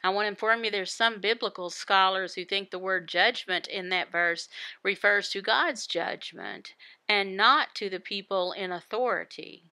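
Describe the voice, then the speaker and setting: A woman voicing a Bible devotional, explaining it phrase by phrase in a deliberate manner with pauses. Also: medium pitch, pushed and bright, dry recording. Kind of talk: Bible teaching, voiced devotional.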